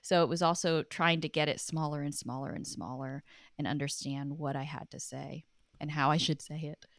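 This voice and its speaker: A woman's voice: low voice, steady tone, normal speed, no room sound, studio quality. A woman speaking as an interview guest, chatty and earnest, telling reflective stories in a conversational way. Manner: earnest, chatty, laughs easily. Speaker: podcast guest, writer and documentary producer.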